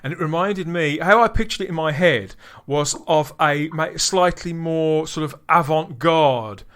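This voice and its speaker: A man's voice: fairly high pitch, a little slow, a bit bright. A man hosting a podcast, reviewing in casual chat, enthusiastic, with frequent restarts. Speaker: podcast host.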